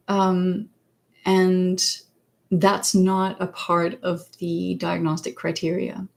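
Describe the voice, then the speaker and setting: A woman whose first language is Danish, chatting casually on a livestream as a psychologist and author. She pauses often and searches for words. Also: fairly low pitch, vocal fry, a little mellow. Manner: hesitant, many fillers and restarts, searches for words. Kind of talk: casual livestream chat answering viewer questions.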